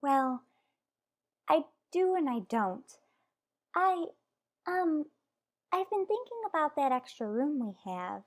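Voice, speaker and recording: Actress as gentle radio drama wife, high voice, tentative, halting, affectionate. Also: female voice, very animated, heavily acted. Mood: tentative, earnest. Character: gentle, hesitant wife longing to adopt an older boy. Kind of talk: old-time radio soap opera acting.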